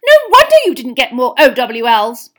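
A woman voicing a furious, scolding middle-aged witch mother in a storybook, sharp, shouting and commanding. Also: fairly high pitch, wildly swooping pitch, normal speed, voice pushed up. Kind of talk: acted audiobook dialogue.

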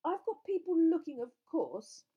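A woman's voice: on the high side, big pitch swings, moderate pace, darkish tone, slightly rough, pitched above the performer's own voice. A woman voicing a jovial but nervous, wheedling middle-aged man, a sports official in a storybook, with a hesitant, chummy, blustering manner. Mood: strained, chatty.

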